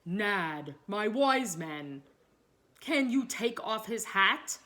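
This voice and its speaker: A woman's voice: mid-range voice, expressive, extremely slow, sharp tone, heavily acted. A woman voicing a commanding, blustering king in a children's storybook, with emphatic, projected delivery. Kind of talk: children's storybook read aloud.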